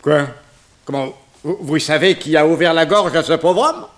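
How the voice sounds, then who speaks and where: An actor playing a brusque, impatient police inspector in a theatrical 1960s radio crime drama. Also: male voice, fairly high pitch, moderate pace, a bit bright, natural voice. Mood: impatient, curious.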